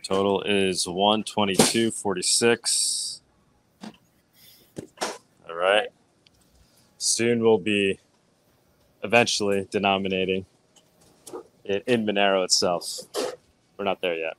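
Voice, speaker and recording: Excited man vlogging at a checkout. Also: low voice, takes it slowly, long pauses, a little hiss or hum. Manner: excited, laughing, talking to camera. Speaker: show correspondent. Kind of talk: casual vlog interview. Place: grocery store checkout, indoors. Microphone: wired earphone mic.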